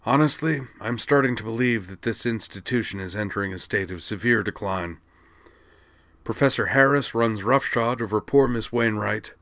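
A man voicing a formal, courteous male alien, speaking in a polite, measured way. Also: on the low side, a bit bright, no echo, pitched above the performer's own voice. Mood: disgruntled.

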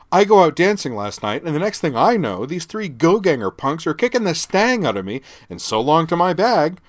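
A man voicing a loud, scornful teenage girl tourist who snaps sarcastically at officers. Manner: snappish, sarcastic, shrill.

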